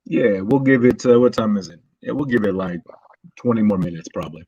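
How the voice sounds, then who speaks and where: Laid-back, low-voiced male stream host. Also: lively intonation, fairly quick, warm tone, husky, no echo, lo-fi sound. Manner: laid-back, drawling, many "uh" and "um" fillers. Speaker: livestream host. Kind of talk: casual chat, reading chat messages.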